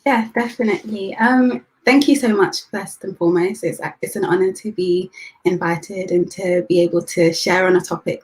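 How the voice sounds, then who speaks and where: A woman's voice: lowish voice, poor audio. A young woman with a British accent introducing herself as a guest, earnest and full of ums and self-corrections. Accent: British English. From England. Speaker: youth leader and guest. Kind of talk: panel introduction.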